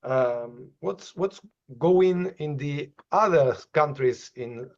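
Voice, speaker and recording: A man, asking interview questions haltingly over a video call from an office. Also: fairly high pitch, dull audio. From Argentina and Brazil. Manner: halting, searching for words. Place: office with bookshelves. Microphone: video call webcam.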